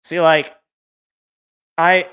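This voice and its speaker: A man co-hosting a casual sports podcast, loud and excitable, stumbling over repeated words, his voice worn out. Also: a little high, extremely slow, smooth. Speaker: podcast co-host.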